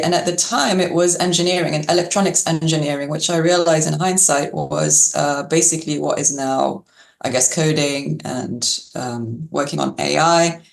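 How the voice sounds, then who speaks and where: Woman guest talking it through, bit of fry in her voice, roomy echo around her. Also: sits low, fairly even intonation, moderate pace. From Algeria and Yorkshire. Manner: thoughtful, laughs lightly. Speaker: guest, public health doctor. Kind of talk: interview answers. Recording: echoey room.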